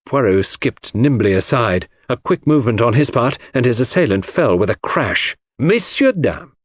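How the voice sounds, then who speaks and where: A man's voice: medium pitch, lively intonation, normal speed, no room sound. A man reading a detective novel as a scripted, dramatic audiobook narration.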